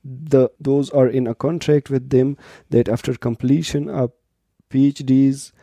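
A man's voice: mid-range voice, little pitch movement, unhurried, dark tone, no room sound, clean studio sound. A man from Pakistan in his thirties, a native Pashto speaker, answering interview questions in English at length, explaining patiently with frequent fillers and restarts. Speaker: interview guest, doctoral student.

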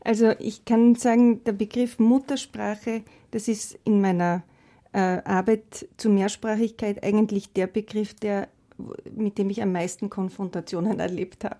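A woman researcher of multilingualism, speaking fluently and in measured, academic terms on a panel. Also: mid-range voice, flat delivery, mellow. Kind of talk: panel discussion.

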